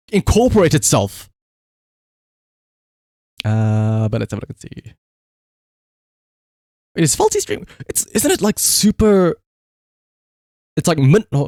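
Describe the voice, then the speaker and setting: Guy streamer w a slightly high voice, rambling casually to chat, sweary n mock annoyed, really dry sound. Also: a little mellow, no echo. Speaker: livestreamer.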